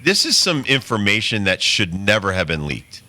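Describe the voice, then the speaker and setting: A man's voice: lowish voice, sing-song, normal speed, sharp tone, no room sound. A man hosting a sports talk show, speaking casually with blunt, opinionated takes.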